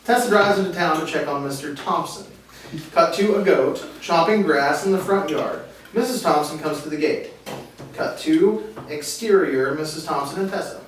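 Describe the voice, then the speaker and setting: A man's voice: fairly high pitch, normal speed. A man reading stage directions as the narrator of a script table read. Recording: distant mic, echoey room, faint noise.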